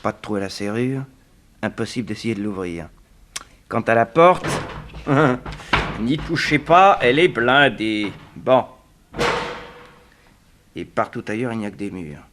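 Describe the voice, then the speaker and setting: An actor playing a wry, resourceful detective held prisoner, in the theatrical, ironic style of a 1960s French radio serial. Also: male voice, lowish voice, lively intonation, a little slow, pauses often, background noise. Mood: scheming, determined. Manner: theatrical, ironic, muttering to himself.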